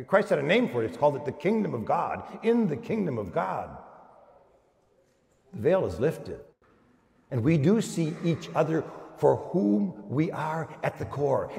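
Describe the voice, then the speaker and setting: An older American man, a priest preaching a sermon in an emphatic, deliberate way with repeated phrasing. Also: medium pitch, creaky, darkish tone. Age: older, around 70s. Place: large church, speaking from the pulpit. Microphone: pulpit gooseneck microphone. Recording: reverberant church hall.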